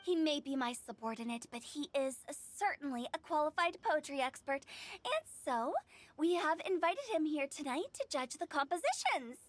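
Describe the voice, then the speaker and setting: Mischievous young director for a game: very high pitched, theatrical, declamatory, teasing. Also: female voice, expressive, normal speed. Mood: grandiose. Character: playful, theatrical teenage girl director, mischievous show-off. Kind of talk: animated video game voice acting.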